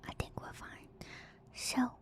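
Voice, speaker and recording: A woman livestream host chatting in a soft ASMR style, moving between whispers and quiet speech, very close to the mic with audible mouth noises. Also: unhurried. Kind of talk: whispered ASMR stream chat. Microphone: very close microphone with gain turned up, mouth noises. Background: music.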